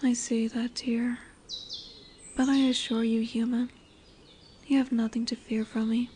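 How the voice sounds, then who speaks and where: A woman's voice: on the high side, little pitch movement, measured pace, dark tone, close to an everyday voice. A woman voicing a large lamia, a seductive serpent woman who is commanding yet soothing, in a formal, hypnotic, coaxing manner for a roleplay audio drama. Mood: imperious, curious. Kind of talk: roleplay audio drama with soft whispered passages. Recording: noisy woodland ambience with birdsong. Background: birdsong and forest ambience.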